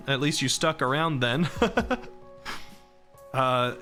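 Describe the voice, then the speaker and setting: Young guy streaming, rambly and self-deprecating, kinda hoarse, bright voice, faint music behind. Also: mid-range voice. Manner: rambling and self-deprecating, reading chat and laughing. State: voice going hoarse from long talking. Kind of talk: casual live-stream chat.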